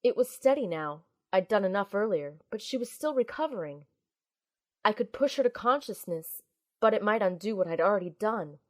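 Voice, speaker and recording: A woman's voice: mid-range voice, animated, normal speed, lightly acted. A woman playing an earnest, anxious young field operative, acting and narrating in the first person in an audio drama. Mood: tense narrating. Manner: earnest, urgent.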